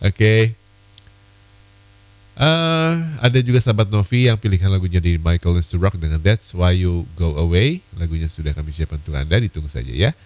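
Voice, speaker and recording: A man hosting an evening radio request show, a little hoarse from a cold, chatting casually with many fillers. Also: deep voice, normal speed, a little mellow, no room sound, low-quality recording. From Indonesia.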